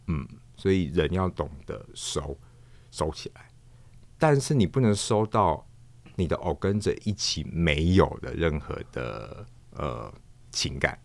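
Male interview guest, Taiwanese accent: very low register, reflective and hesitant, often repeating words, close dry sound. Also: sing-song, vocal fry, no room sound. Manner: halting, thoughtful, searches for words and repeats himself. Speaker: guest, theatre performer, puppeteer and director.

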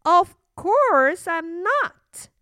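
A woman's voice: very high voice, very animated, very slow, pushed and bright, no room sound, studio quality, voice pushed up, exaggerated voice. A woman voicing a stubborn little storybook princess with a whiny, protesting manner.

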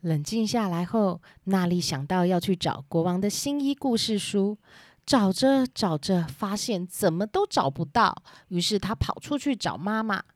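A woman storyteller narrates for children at a medium pitch. Her voice is recorded close and dry, on a simple home setup. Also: lively intonation, a little slow, dry recording. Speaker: storyteller, host.

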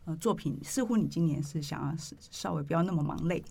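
A woman hosting an interview in a measured, earnest, reflective way. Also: deep voice, gravelly, dark tone, slightly rough. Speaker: interview host.

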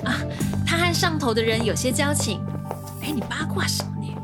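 A woman's voice: sits high, lively intonation, moderate pace, loud music behind the voice. A woman playing a sassy, impatient young woman in a suspense radio drama, teasing and scolding as she talks.

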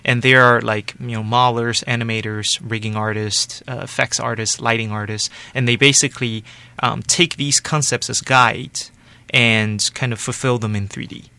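A man from Taiwan in his forties, an animation studio director, giving steady, explanatory answers in an interview. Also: mid-range voice, moderate pace, gravelly, clear, resonant tone. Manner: explanatory, steady, loose with "like" and "you know" fillers.

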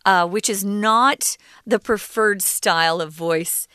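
A woman co-hosting an English lesson podcast, explaining words patiently in a relaxed conversational way with fillers. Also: medium pitch, slow pace, clear, resonant tone, dry recording, studio quality. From United States. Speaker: co-host teaching English.

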